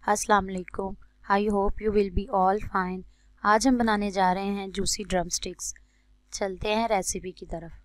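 Even-toned Pakistani woman giving cooking instructions. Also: mid-range voice, measured pace, dry recording. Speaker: cooking channel host. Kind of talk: recipe tutorial voice-over.

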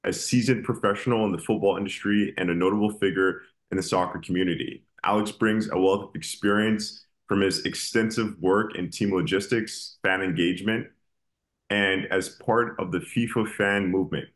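A man's voice: low voice, fairly even intonation, normal speed. A young man hosting a podcast interview, speaking in an upbeat, enthusiastic way over a video call. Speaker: podcast host. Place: room with painting on wall.

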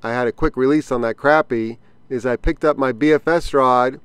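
A man's voice: mid-range voice, moderate pace, smooth, no echo. Man explaining fishing from a boat. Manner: relaxed explanatory, thinking aloud with fillers. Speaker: fishing channel host. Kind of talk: casual outdoor fishing vlog. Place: small boat on a pond outdoors. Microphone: action camera on the boat.

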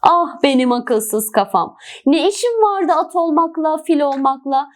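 An excitable little animal from a children's storybook, voiced by a woman. The voice sits a bit high and swoops expressively. It speaks in open, exclamatory phrases. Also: a little slow. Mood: regretful lament. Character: small sparrow turned elephant, then horse, regretful, excitable.

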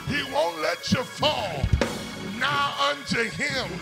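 A man's voice: very high-pitched, big pitch swings, slow, gravelly, loud music behind the voice. An older man preaching a sermon, chanting and whooping, calling on the congregation to answer back. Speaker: pastor. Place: church sanctuary.

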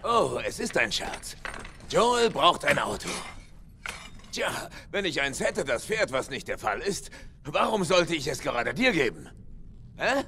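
A man's voice: fairly high pitch, very animated, measured pace, vocal fry, bright, forceful tone, a little hiss or hum, heavily acted. A man playing an irritable, sarcastic middle-aged loner in a video game dub, grumbling and blustering. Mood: sarcastic, irate.

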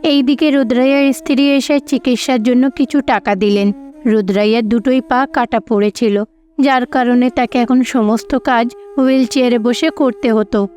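A woman's voice: a little high, steady tone, moderate pace, a little mellow. A woman reading scripted storybook narration for a cartoon. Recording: faint music behind.

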